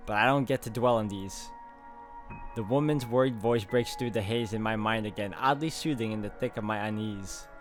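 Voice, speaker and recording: A man's voice: lowish voice, moderate pace. Man narrating a game over faint music. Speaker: gaming video host. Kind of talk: dramatic read-aloud narration with reactions.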